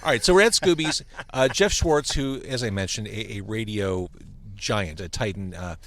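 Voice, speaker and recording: A man's voice: fairly low pitch, normal speed. A man hosting a casual podcast conversation from a restaurant, introducing guests with a joking manner. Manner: lively, joking, reads a review aloud. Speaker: podcast host. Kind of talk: casual chat.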